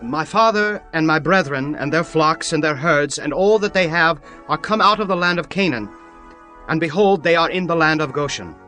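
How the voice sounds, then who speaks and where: A man's voice: a little high, expressive, normal speed, bright, forceful tone. A man voicing a powerful governor who speaks firmly and instructively. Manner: firm, instructive. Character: powerful governor, authoritative younger brother. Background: faint music.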